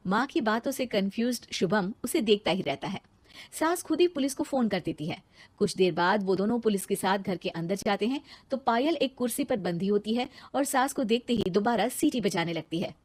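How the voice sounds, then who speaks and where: A woman narrating a cartoon story and voicing a cheeky young heroine, in a lively way. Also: medium pitch, moderate pace, slightly rough, no echo. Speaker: narrator and lead voice.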